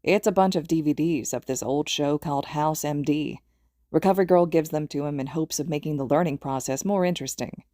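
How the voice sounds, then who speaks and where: A woman's voice: sits low, a little fast, warm tone, no room sound, studio quality. A woman reading a story aloud as a scripted audiobook narration. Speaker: podfic narrator.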